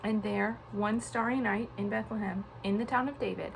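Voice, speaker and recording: A young adult woman reading a storybook aloud to children in an informal, animated way. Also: medium pitch, normal speed, creaky, a little hiss or hum, rough recording. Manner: casual, child-directed, animated on dialogue.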